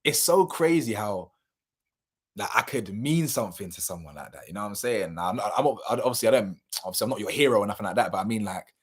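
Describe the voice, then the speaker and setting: Young man, low-ish voice with some hoarseness; loose, slangy live-stream chatter with sudden loud bursts and trailing mumbles. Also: quick pace. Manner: slangy, animated, laughs often, drifts between loud bursts and mumbles. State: slightly hoarse. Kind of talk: casual live chat reading viewer comments.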